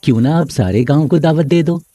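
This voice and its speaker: A gentle child god, acted by a man, calm and kindly in a storybook way. The voice is mellow and a little high for a male, very dry and close, with faint music behind. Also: brisk, dry recording, lightly acted. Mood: calm, instructing.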